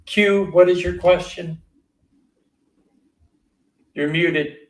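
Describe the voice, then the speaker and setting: A man's voice: high-pitched, steady tone, extremely slow. A man hosting a live online interview, chatty and informal. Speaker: host. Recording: roomy, distant from the mic.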